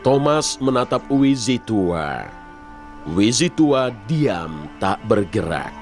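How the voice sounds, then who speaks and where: A man's voice: mid-range voice, big pitch swings, slow. A man narrating a children's cartoon as a dramatic storyteller. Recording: music playing behind the voice. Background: loud music, vehicle sounds.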